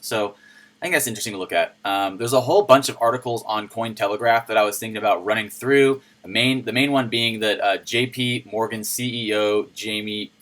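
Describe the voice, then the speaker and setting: A man with a low voice hosting a casual crypto news video, reading and commenting on articles in a chatty, playful way. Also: brisk. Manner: chatty and playful, with sudden shouted jokes.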